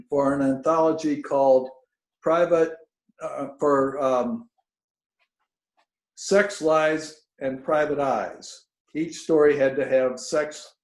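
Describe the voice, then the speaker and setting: Halting man in a very echoey room. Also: fairly high pitch. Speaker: author at a reading. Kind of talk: informal spoken introduction and reading of own fiction. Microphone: video call. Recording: roomy, echoing video call audio.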